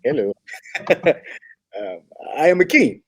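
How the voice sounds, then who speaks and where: A husky-voiced, smitten young man, played by an actor in a comedy read, with a pitch that jumps around eagerly. He is earnest and awkward, fumbling and stammering through his sentences. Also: big pitch swings, slow pace, full character voice. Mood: nervous, eager.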